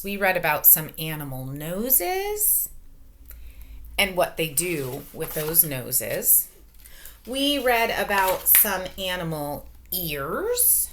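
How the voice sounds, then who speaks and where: A woman in her forties reads a children's picture book aloud in a bright, forward voice. Her pitch moves up and down in lively swings, and she takes her time over the words. She speaks like an elementary teacher, stressing key words for young listeners. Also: a little hiss or hum. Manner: expressive, emphatic, question-asking, child-directed delivery. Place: small furnished room at home, indoors. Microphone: webcam or laptop microphone at arm's length.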